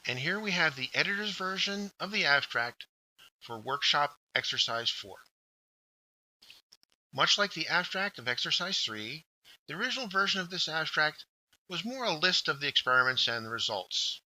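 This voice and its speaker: A man's voice: a little high, animated, moderate pace, sharp tone, low-quality recording. A man giving scripted instructional narration as a workshop instructor, guiding learners step by step and addressing them directly.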